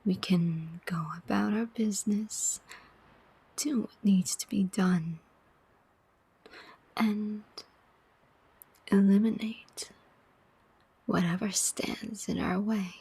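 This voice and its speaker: Woman playing a slow, whispering, taunting demoness. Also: medium pitch, warm tone, heavily acted, poor audio. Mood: sly bargaining. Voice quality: breathy whisper. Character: taunting, cunning female demon collector of souls. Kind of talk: whispered ASMR roleplay.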